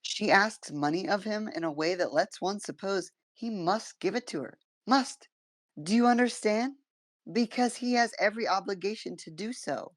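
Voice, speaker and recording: A woman's voice: mid-range voice, moderate pace, no echo, studio quality, close to an everyday voice. A woman playing an aloof, resentful young adult son in a stage play, with a formal, disdainful manner. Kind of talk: theatrical stage-play reading.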